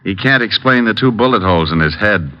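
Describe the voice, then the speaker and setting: A man's voice: on the low side, lively intonation, fairly quick, lightly acted. An actor playing a cool, wisecracking male private detective in an old-time radio drama, with wry, clipped delivery. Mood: dry, assured. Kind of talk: old-time radio detective acting with first-person narration. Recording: dull old radio recording, faint music.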